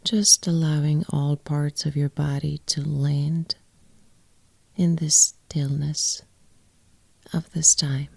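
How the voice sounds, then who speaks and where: A woman guiding a yoga nidra meditation in a soft, breathy, often near-whispered voice, with gentle phrases and long pauses. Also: low voice, little pitch movement, no echo. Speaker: yoga nidra teacher and guide. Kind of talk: guided meditation, soft and soothing.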